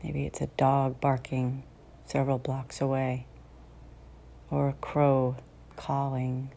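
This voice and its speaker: A woman guides a meditation in a notably low, mellow voice, soft and close to whispering at times. She speaks very slowly and soothingly, leaving long pauses between phrases. A faint background noise sits behind her. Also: completely flat delivery, gravelly.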